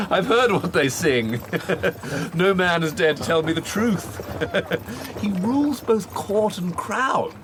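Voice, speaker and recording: An insecure nobleman in a period radio drama. He speaks slowly and haltingly, with lively swings of pitch and a theatrical, probing manner. Horse hooves and street noise run behind him. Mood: wryly amused. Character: insecure, mocked, sardonic English lord at court.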